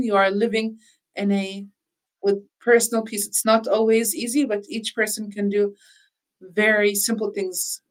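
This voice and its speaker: Earnest woman speaking in measured phrases. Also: mid-range voice, rough recording. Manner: measured, earnest, with frequent restarts. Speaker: interviewee on healing practice. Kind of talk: reflective conversational talk.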